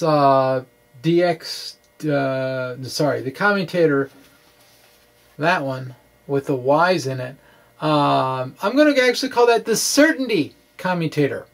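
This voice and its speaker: A middle-aged man giving an informal physics explainer in a halting, conversational, wry way, speaking into a microphone indoors. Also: a little high, lively intonation, vocal fry. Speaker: physics blogger. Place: small indoor room with bookshelves. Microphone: microphone on a stand in front of him.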